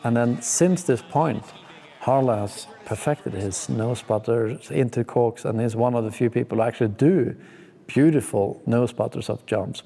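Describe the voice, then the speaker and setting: A man's voice: mid-range voice, vocal fry, warm tone. A young man from Sweden, speaking, gives laid-back, slangy, enthusiastic commentary while reacting to ski clips. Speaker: pro skier.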